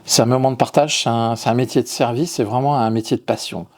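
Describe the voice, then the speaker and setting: Mellow-voiced middle-aged French chef. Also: male voice, medium pitch, steady tone, fast talker. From Lyon, France. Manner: hesitant, repeats words, warm about his work. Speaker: chef and restaurant owner. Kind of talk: interview answers.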